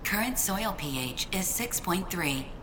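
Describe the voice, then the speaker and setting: A calm female computer assistant for an audio drama, formal and deferential. Her voice is on the low side but moves with lively intonation. Clear ambient noise sits behind her. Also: a little slow. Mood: calm, obedient. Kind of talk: audio fiction acting.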